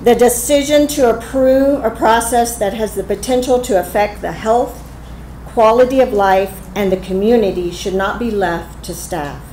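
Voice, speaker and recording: A woman giving public comment at a county meeting, reading a prepared statement in a firm, measured way. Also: on the high side, dark tone, slight background noise. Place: county commission meeting room. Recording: echoey meeting room.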